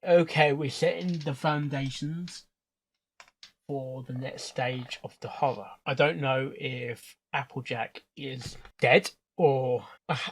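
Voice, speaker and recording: A man hosting a reaction video, commenting off the cuff in a halting way, repeating words and phrases. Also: mid-range voice, fairly even intonation, low-quality recording.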